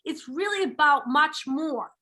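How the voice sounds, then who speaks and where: A woman's voice: high voice, lively intonation, unhurried, bright, forceful tone. A woman in her forties hosting a podcast interview, gushing and enthusiastic, repeating words for emphasis. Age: 40s. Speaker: podcast host. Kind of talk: upbeat interview chat.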